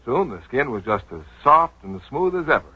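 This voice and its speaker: A man's voice: mid-range voice, sing-song, normal speed, breathy edge. A man announcing a scripted 1940s radio commercial with an emphatic sales delivery. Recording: dull 1940s radio broadcast recording.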